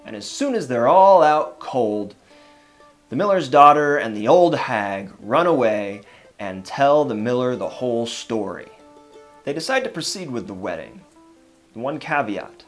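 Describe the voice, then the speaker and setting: Animated, theatrical fairytale storyteller over music. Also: mid-range voice, measured pace. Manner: wry, theatrical, conversational asides. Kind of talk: dramatic, casual retelling of dark fairytales.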